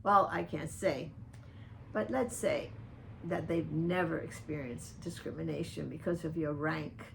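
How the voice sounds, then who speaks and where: An older woman delivers a slow, earnest monologue, speaking pointedly to one person by name. Her voice sits fairly low and carries a heavy gravelly rasp. A faint noise stays in the background throughout. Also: a bit bright. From Dominican Republic. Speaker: vlogger addressing a public figure. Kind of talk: personal open-letter video monologue.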